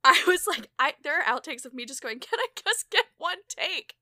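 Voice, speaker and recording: Bright, high-voiced woman chatting casually. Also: normal speed, no echo, studio quality. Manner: animated, self-deprecating, laughs often. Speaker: podcast host and audio drama creator. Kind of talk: casual conversational interview.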